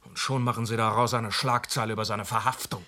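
An actor playing a matter-of-fact police inspector in a radio play. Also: male voice, on the low side, steady tone, fast, bright, forceful tone. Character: loyal male police inspector, assistant.

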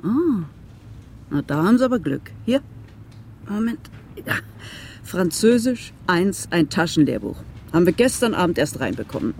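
Bookshop salesman for radio play: chatty, lively intonation, slightly put-upon, faint shop ambience behind. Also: sits high, moderate pace, vocal fry, long pauses, a little hiss or hum, full character voice. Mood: obliging, dry. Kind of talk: radio drama acting.

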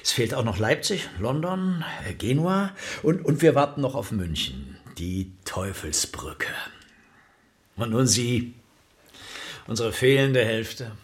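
An actor playing an eager, collegial museum curator in a naturalistic radio drama, conversational and persuasive. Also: male voice, medium pitch, lively intonation, slow.

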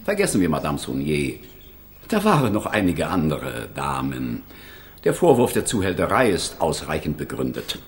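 An actor playing a stern, sarcastic, irritable presiding judge in a German radio crime play. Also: male voice, rumbling low, huge pitch swings, normal speed, full character voice. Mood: stern, impatient.

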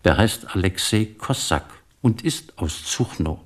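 An actor in a German radio play, playing a talkative, sentimental immigrant shopkeeper who tells things with insistent emphasis and a gravelly voice. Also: male voice, deep voice, expressive. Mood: excited. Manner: effusive, insistent storytelling with emphatic pauses.

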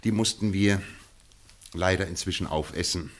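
A man's voice: sits low, normal speed, close to an everyday voice. An actor playing a shrewd, dryly amused male detective in a 1950s radio crime play, courteous and chuckling. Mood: wry.

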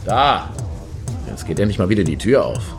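A man's voice: on the low side, animated, normal speed, over music, close to an everyday voice. An actor playing a brash, eager male clubgoer in a comic radio play, cocky and slangy. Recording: crowd noise behind the voice.

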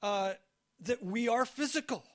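A man from California with an American accent, preaching a sermon in short phrases and pausing for an interpreter, often restarting his words. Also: extremely high pitch. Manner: short phrases with pauses for translation, frequent restarts. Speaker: guest preacher. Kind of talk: sermon delivered through an interpreter.

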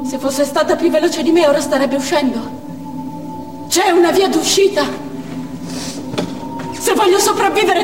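An actress playing a desperate, defiant female Chechen militant in a declamatory theatrical monologue. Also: very high voice. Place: theatre stage, picked up from afar. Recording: distant echoey theatre hall with music.

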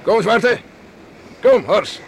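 A man's voice: high voice, expressive, extremely slow, heavily acted. Hearty, teasing country father. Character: hearty, teasing older father, rural horseman. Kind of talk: Dutch radio drama acting. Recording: noisy archive radio play recording.

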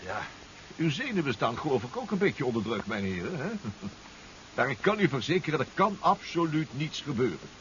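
A muffled, clearly noisy old radio play. a self-assured submarine-inventor professor, precise and reassuring, with lively swings of pitch. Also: male voice, fairly quick. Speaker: actor in a radio drama. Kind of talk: 1970s radio drama acting.

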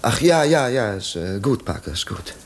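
A man playing a troubled, grieving gentleman in a 1950s German radio play, halting, emotional and dramatic in his delivery. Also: lowish voice, big pitch swings, vocal fry, background noise. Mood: haunted, agitated. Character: troubled, grieving wealthy gentleman, agitated and haunted.

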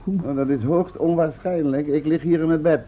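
A male actor playing a calm, dry and helpful companion in a radio play, on a narrow old recording. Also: on the high side, moderate pace, close to an everyday voice.

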